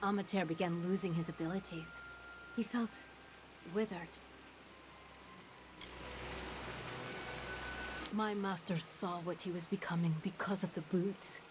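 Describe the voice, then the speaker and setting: A woman voicing a solemn, mournful fey herald in a fantasy game, speaking in a grave, measured, formal way. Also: on the low side, fairly even intonation, background noise, close to an everyday voice.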